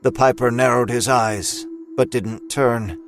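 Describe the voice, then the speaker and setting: Give a male narrator reading dramatic fiction. His pitch is average for a man, and his scripted storytelling sits over soft background music. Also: steady tone, measured pace.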